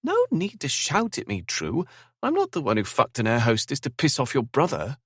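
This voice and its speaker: A man voicing G, an impulsive, chatty young woman who is quick to apologize and cry. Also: mid-range voice, wildly swooping pitch, a bit bright, husky, no echo, clean studio sound, voice pushed up, exaggerated voice. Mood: indignant. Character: young woman G, impulsive, gushing, easily tearful.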